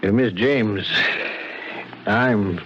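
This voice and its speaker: Radio mystery detective: earnest, apologetic, low unhurried delivery, on a dull vintage broadcast. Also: male voice, background noise. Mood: gently probing. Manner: hesitant, polite, halting. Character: earnest, apologetic male police lieutenant questioning suspects. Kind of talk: 1970s radio drama acting.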